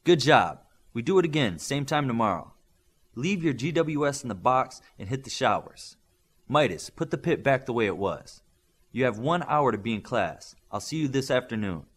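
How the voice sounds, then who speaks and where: A man voicing a stern military-style trainer in an audiobook, giving brisk, clipped orders. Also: mid-range voice, very animated. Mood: firm, approving.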